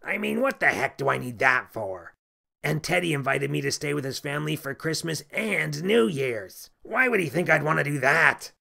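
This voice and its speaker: A man voicing a miserable, grumpy little male elf in a children's audiobook, creaky and pinched, complaining and sneering. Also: a little high, lively intonation, normal speed, pushed and bright, heavily acted.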